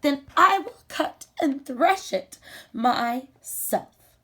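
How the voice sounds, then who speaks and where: A woman's voice: high-pitched, animated, very slow, pushed and bright, pitched above the performer's own voice, full character voice. A woman voicing a hard-working, determined little red hen in a storybook, with emphatic, singsong calls. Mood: resolute.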